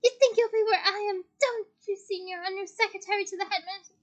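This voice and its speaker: A woman voicing a fussy, simpering older female official, spiteful and shrill, in a storybook reading. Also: lively intonation, moderate pace. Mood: shrieking fury.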